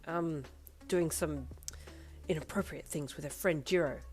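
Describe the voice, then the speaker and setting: Woman reading a gruff fumbling dad, halting, low voice, faint music. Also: darkish tone. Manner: hesitant, halting. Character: father, gruff, fumbling and hot-tempered.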